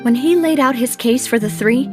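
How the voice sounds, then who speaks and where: A resolute young woman investigator from a video game, voiced by an actress, speaks in a high, poised voice. Her delivery is deliberate and thoughtful, with soft music underneath. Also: close to an everyday voice. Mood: pondering, analytical. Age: young adult.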